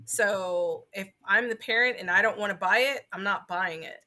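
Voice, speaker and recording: A woman's voice: mid-range voice, moderate pace, bright, forceful tone. A woman co-hosting a podcast, chatting informally with a joking manner. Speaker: podcast co-host. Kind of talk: casual chat.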